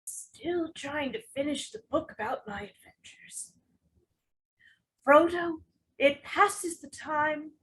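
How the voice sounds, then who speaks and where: A woman acting an elderly male hobbit uncle, fond, wistful and weary, halting and sometimes hushed, in a script table read. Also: sits high, rough recording.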